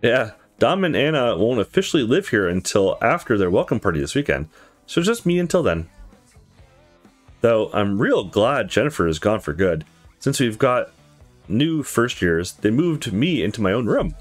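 A man's voice: mid-range voice, very animated, moderate pace, faint music behind. A man voicing a friendly, easygoing young woman in a visual novel, chatty and teasing. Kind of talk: visual novel dialogue read aloud.

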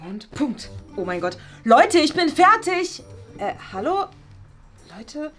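A woman's voice: high-pitched, very animated, takes it slowly, music in the background, full character voice. A young woman playing an eager, ambitious schoolgirl journalist in a children's comedy skit, flustered and stumbling with enthusiasm. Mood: relieved, puzzled. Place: small classroom.

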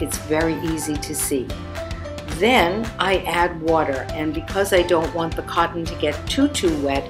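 An older woman, around her seventies, a children's author reading aloud with deliberate, expressive storybook phrasing. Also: low voice. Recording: echoey room, music underneath.

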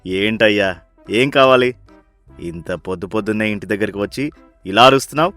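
A man's voice: lowish voice, a little fast, a bit bright, no room sound, faint music behind, close to an everyday voice. A man playing a miserly, sly rich husband in a story, with a bossy, scolding, sarcastic manner. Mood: irritated.

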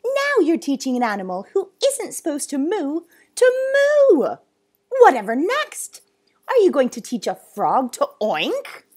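A woman's voice: huge pitch swings, a little slow, a little mellow, exaggerated voice. A woman voicing a pompous, furious male zoo owner who bellows and blusters indignantly in a storybook reading. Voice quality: booming, bellowing. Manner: blustering, indignant, emphatic questions.

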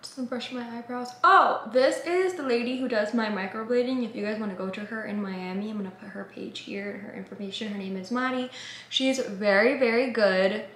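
A woman's voice: on the high side, fairly quick, fairly bright tone, roomy sound, slight background noise. A young woman in her twenties chatting casually to camera in a vlog, bubbly and full of "like", recorded in a small room at home. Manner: chatty, bubbly, heavy use of "like". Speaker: vlogger.

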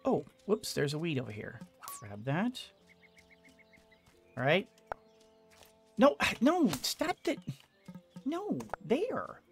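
A middle-aged man hosting a casual let's play at a close mic. His voice sits a little high, and he mutters his thoughts aloud over faint game music. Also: huge pitch swings, slow pace, pauses often. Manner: thinking aloud, muttering to himself. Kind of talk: casual gameplay commentary. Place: small indoor home room.

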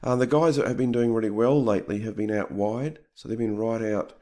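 A man's voice: on the low side, fairly even intonation, fairly quick, warm tone. New Zealand man, casual fishing vlogger. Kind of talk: casual vlog commentary.

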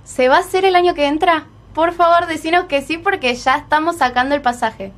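A woman's voice: high-pitched, brisk, fairly bright tone. A woman with an Argentine accent chatting casually as a fan guest on a radio show. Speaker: fan guest asking questions. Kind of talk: casual chat.

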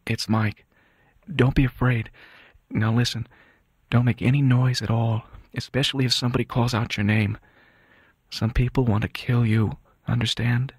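A man's voice: fairly low pitch, a little fast, a bit bright, no room sound, close to an everyday voice. Man playing an earnest, urgent young mobster's son. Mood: hushed, protective. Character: anxious but resolute young Mafia don's son. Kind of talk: dramatised audiobook dialogue.

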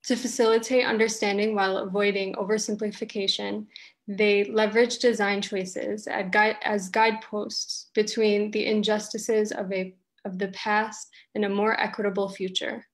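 Even-voiced woman reading an introduction. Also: mid-range voice, normal speed. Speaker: event host. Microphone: video call.

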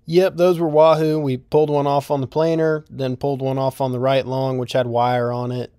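A man's voice: mid-range voice, level tone, normal speed, warm tone, a little hiss or hum. A man giving a casual, matter-of-fact explanatory voice-over for a fishing vlog. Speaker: vlog narrator.

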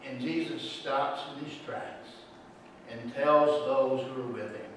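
A middle-aged man preaching a sermon in a church sanctuary, telling a story and swinging between hushed and loud delivery. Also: mid-range voice, steady tone, slow, a little hiss or hum. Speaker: pastor. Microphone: room microphone, far from camera. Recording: roomy echo, distant mic.

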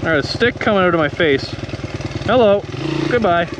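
A young man in his twenties with a high, lively voice shouts and cheers himself on as he rides through snowy forest. A loud motorbike engine and wind roar right over him on an action-camera mic. His commentary is hyped and full of exclamations. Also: normal speed. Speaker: dirt bike vlogger. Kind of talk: excited ride commentary to camera. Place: outdoors in snowy forest, riding a dirt bike. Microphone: helmet or action camera, then selfie camera at arm's length.

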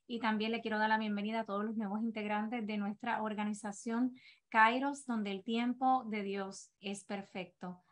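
A woman in her thirties with a Puerto Rican accent gives an earnest, encouraging business presentation over a video call. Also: a little high. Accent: Puerto Rican Spanish. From Puerto Rico. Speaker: direct-sales team leader. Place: home office, indoors.

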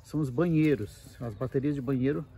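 Guy doing a casual camping tour, kinda gravelly n mellow, bit of background noise outside. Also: mid-range voice, fairly quick. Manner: explanatory, conversational with frequent check-in tags. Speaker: camping travel vlogger. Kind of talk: casual walking tour narration. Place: outdoors at a wooded campground. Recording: noisy outdoor handheld recording.

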